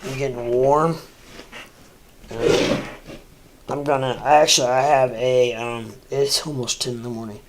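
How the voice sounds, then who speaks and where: A man rambling through a casual livestream, stuffy and congested, halting with lots of fillers and restarts. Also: mid-range voice, fairly even intonation, very noisy. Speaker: crypto livestreamer. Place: home room, seated at a desk.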